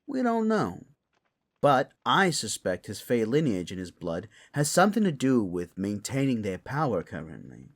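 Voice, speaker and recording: A man's voice: mid-range voice, huge pitch swings, normal speed. A man playing an earnest council member in a fantasy audio drama, speaking with a dramatic storytelling manner. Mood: grim, recounting.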